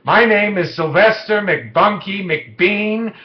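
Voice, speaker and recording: A man voicing a slick, brash, persuasive salesman creature from a children's storybook, with a showy sales-pitch delivery. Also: takes it slowly. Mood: eager pitch. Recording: muffled lo-fi, echoey room.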